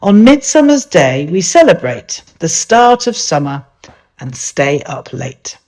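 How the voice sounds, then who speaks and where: Slow, lilting woman storyteller. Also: lowish voice. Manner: expressive, gentle. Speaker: author reading aloud. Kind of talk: rhyming picture-book storytelling.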